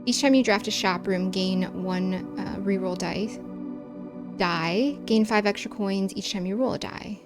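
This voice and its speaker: A woman's voice: mid-range voice, unhurried, music in the background. A woman from the American Midwest with a Chicago accent, chatting casually while streaming a game, with long vowels and careful over-enunciation.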